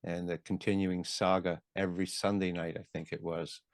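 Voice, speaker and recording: An older man from Montreal interviewing a guest in a casual, halting and encouraging way. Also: sits low, flat delivery, no room sound, clean studio sound. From Montreal, Canada. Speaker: interviewer, host.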